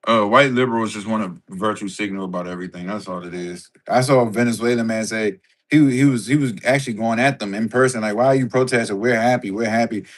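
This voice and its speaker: A blunt male podcast guest, talking politics with plenty of slang and swearing. His voice sits somewhat low, and his delivery is straightforward and unpolished. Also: fast. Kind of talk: casual political chat.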